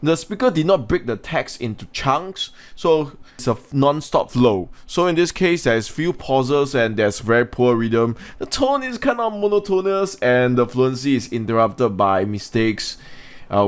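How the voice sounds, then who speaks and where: A man's voice: mid-range voice, big pitch swings, moderate pace. Male English teacher, mid-range voice, narrating a lesson for students, instructional, asks questions. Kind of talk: scripted lesson narration with informal asides.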